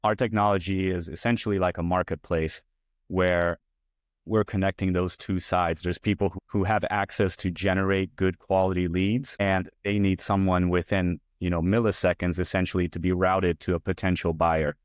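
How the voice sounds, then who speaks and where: A man from Hungary in his forties, a guest talking through his career in a relaxed, rambling way with lots of fillers. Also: deep voice, moderate pace, no echo, clean studio sound. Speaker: guest, VP of user experience. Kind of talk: casual interview talk.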